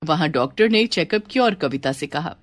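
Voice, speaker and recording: A woman tells a scripted cartoon story as its narrator. Her pitch moves in lively swings, and the recording is dry and close. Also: normal speed, no echo.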